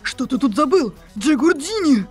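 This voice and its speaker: A woman's voice: high-pitched, big pitch swings, moderate pace, darkish tone, faint music behind. A woman voicing a brash young male hero knight in a visual novel, talking in an indignant, demanding way. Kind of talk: amateur voice-over of a visual novel.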